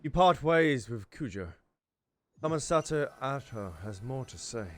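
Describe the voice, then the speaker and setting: A man's voice: medium pitch, a little slow. A man giving playful, teasing let's-play commentary as a gaming streamer. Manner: animated, teasing, breaks into giggles. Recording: faint game music behind.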